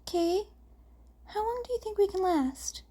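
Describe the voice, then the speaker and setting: A woman's voice: extremely high pitch, expressive, a little slow, dark tone, voice pushed up. A woman reading the part of an anxious, hesitant young boy twin in a story. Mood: worried.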